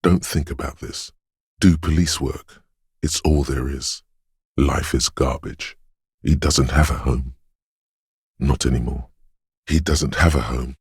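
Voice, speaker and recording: A man playing a brooding, ominous inner voice of intuition in a video game, with a very deep, husky, gravelly voice and a slow, solemn, cryptic delivery. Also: steady tone, no echo, studio quality, full character voice. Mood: somber. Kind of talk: dramatic game narration.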